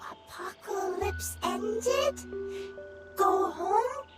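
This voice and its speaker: Halting, high-pitched little mushroom creature. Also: female voice, full character voice. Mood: earnest, hopeful. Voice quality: childlike. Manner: halting, broken short phrases. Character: small earnest childlike mushroom creature learning to speak. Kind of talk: video game voice acting. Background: music.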